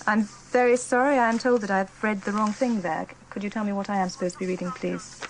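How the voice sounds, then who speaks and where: A woman's voice: mid-range voice, fairly even intonation, quick pace, a little hiss or hum, low-quality recording. A woman reading radio news in a formal, polite manner. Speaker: radio newsreader.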